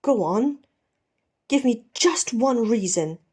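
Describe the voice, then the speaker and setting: A woman's voice: a little high, animated, slow pace, warm tone, slightly rough, voice pushed up, heavily acted. A woman voicing a fierce, wounded male pirate captain with a bitter, taunting manner in a storybook reading. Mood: menacing.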